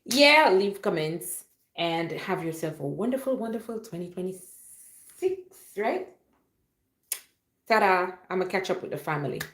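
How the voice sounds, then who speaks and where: A woman's voice: on the low side, expressive, unhurried, long pauses, a bit bright. A woman chatting casually and playfully to her livestream viewers, rambling from one thing to the next. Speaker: livestream host. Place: indoor room at home. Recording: roomy, echoey home livestream.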